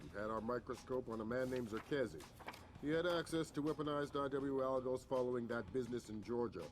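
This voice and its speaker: An actor playing a calm, authoritative intelligence chief who speaks in a measured, firm briefing tone. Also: male voice, mid-range voice, lightly acted. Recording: game cutscene with music underneath.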